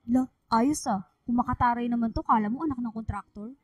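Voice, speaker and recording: A woman's voice: a little high, fairly quick, no echo, clean studio sound, natural voice. A woman playing a chatty, teasing teenage schoolgirl friend in a Filipino radio drama.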